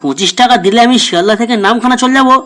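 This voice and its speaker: Man voicing a high-pitched, grumpy older cartoon father. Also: very fast. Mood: combative. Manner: indignant, scolding, crude. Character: grumpy, foul-mouthed older father and ironworker. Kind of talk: comedy cartoon dialogue. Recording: music behind.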